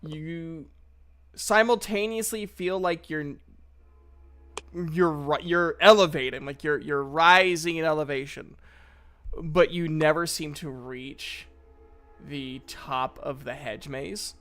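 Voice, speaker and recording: A man with a slightly high voice runs a tabletop game. He narrates off the cuff at a slow pace, halting often to think as he describes scenes and rules. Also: sharp tone, faint music behind. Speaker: game master.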